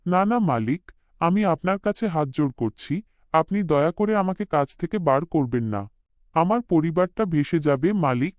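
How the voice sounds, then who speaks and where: Guy doing a poor sad working dad in a cartoon, humble and pleading, bit high, very dry sound. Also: animated, normal speed, no echo, muffled recording, natural voice. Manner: pleading, deferential, sorrowful. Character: humble, desperate poor working father and husband. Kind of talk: cartoon voice acting.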